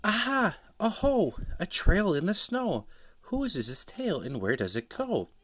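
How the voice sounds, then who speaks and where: A man's voice: high-pitched, big pitch swings, measured pace, a bit bright, pitched above the performer's own voice, heavily acted. A man voicing a bold, curious young female monster child in a storybook reading. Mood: excited.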